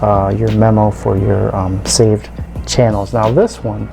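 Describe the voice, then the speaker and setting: A man casually demonstrating a product, explaining it step by step in a halting, informal way. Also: lowish voice, flat delivery, gravelly, music in the background. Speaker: radio reviewer and video host.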